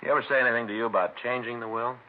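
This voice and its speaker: A man's voice: mid-range voice, steady tone, rapid-fire, natural voice. A man playing a terse, skeptical police detective in a 1950s radio crime drama, with blunt, dry questioning. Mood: dry, probing. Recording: muffled vintage radio recording.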